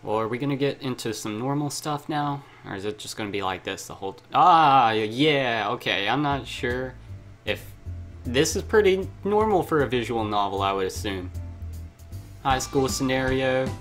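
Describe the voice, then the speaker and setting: A man's voice: medium pitch, animated, normal speed, a bit bright, faint music behind. A man hosting a gaming video, reading a visual novel aloud and breaking in with casual, self-interrupting asides.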